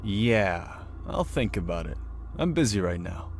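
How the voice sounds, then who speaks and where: A man's voice: fairly low pitch, sing-song, measured pace, slight background noise. A man playing a selfish, indifferent deadbeat husband in a dramatic manga dub, offhand and dismissive. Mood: bored indifference.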